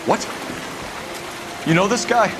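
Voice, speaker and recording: An actor playing a defensive, agitated police lieutenant in a video game, urgent and indignant. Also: male voice, high-pitched, animated. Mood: alarmed. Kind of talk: dramatic video game voice acting. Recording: loud rain and rushing water noise.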